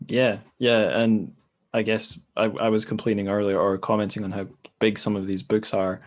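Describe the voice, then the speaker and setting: Lowish-voiced male podcast host. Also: flat delivery, normal speed. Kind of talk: casual discussion.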